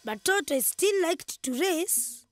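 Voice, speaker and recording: A woman's voice: very high voice, animated, slow, over music, exaggerated voice. A woman voicing a playful, cheery monkey who tells stories in a children's cartoon. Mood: storytelling. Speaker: storyteller.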